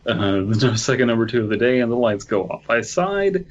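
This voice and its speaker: A man's voice: medium pitch, big pitch swings, moderate pace, highs cut off. A man co-hosting a comedy podcast who reads a story aloud and keeps breaking into giggles during casual banter. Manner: giggly, breaks into laughter while reading. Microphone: online call mic.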